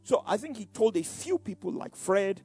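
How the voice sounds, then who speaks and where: High-voiced older Nigerian preacher. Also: male voice, lively intonation, moderate pace, a little mellow, husky. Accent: Nigerian Yoruba. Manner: repeats words, frequent "you know" fillers. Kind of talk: sermon-like personal testimony.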